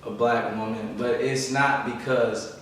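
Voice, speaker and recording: A man from Oakland chatting casually in a group podcast, relaxed and full of fillers like "you know what I'm saying". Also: mid-range voice, a little slow, slight background noise. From Oakland, California. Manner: relaxed, conversational, many fillers. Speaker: podcast co-host. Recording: roomy echo from far mic.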